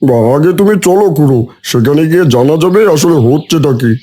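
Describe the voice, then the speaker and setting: A man's voice: fairly high pitch, lively intonation, brisk, dark tone. A man voicing an anxious village man bringing bad news in a Bengali cartoon.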